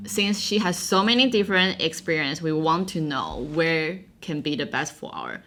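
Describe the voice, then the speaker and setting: A woman with a Taiwanese accent hosts a casual chat in a playful, inquisitive way. Her voice is low and bright, with animated swings in pitch, over background music. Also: moderate pace. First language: Mandarin. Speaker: vlog host.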